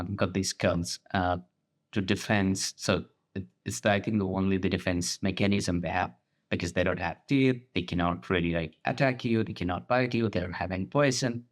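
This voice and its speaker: Low-voiced young Nepali man. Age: young adult. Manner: thoughtful, halting explanations with restarts. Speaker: conservation researcher. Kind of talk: casual conversation.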